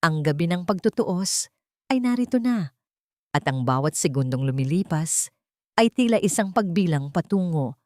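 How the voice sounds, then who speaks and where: A woman narrating a scripted dramatic story in a measured, solemn storytelling manner. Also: lowish voice, animated, darkish tone, no echo, clean studio sound.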